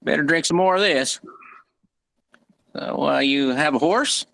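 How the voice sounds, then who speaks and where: Man playing gravelly, folksy frontier doctor. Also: fairly high pitch, measured pace, bright, forceful tone, heavily acted. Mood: wry, matter-of-fact. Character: folksy, wry frontier doctor and former army medic. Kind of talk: dramatic table read of a western.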